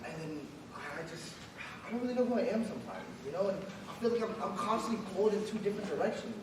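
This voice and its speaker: A troubled, unsure college student, played by a young man stammers and restarts as he talks. His voice is high and comes from a distance across a very echoey stage. A clear noise floor sits behind him. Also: a crowd in the background, lightly acted. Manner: hesitant, halting, with many restarts. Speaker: actor in a student stage play. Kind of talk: live stage acting. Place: university auditorium stage. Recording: echoey stage sound from far off, audience noise.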